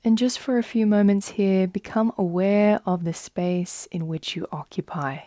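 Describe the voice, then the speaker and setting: A woman leading a guided meditation in a soft, soothing manner, with long unhurried pauses. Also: medium pitch, no room sound, clean studio sound. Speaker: yoga nidra guide.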